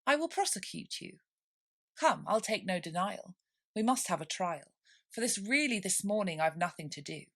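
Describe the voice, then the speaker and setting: A woman's voice: mid-range voice, very animated, normal speed, a bit bright. A woman voicing a cunning, bullying male dog in a storybook rhyme, with a domineering manner.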